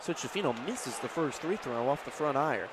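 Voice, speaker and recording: A man's voice: mid-range voice, lively intonation, brisk, a crowd in the background, noisy background. An American man doing live basketball play-by-play, calling the action emphatically in short clipped phrases. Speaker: play-by-play announcer.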